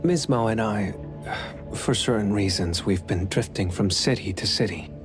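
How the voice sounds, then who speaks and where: An actor playing a calm, courteous, enigmatic man in an anime-style game, speaking in a measured, polite way. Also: lowish voice, over music, lightly acted. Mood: calm, explanatory.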